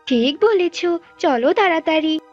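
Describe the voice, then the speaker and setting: Woman playing greedy bird wife, vain, coaxing, very high voice, cartoon, music behind. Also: animated, unhurried. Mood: delighted, vain. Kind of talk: Bengali cartoon bird-fable acting. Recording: dull lo-fi audio with music.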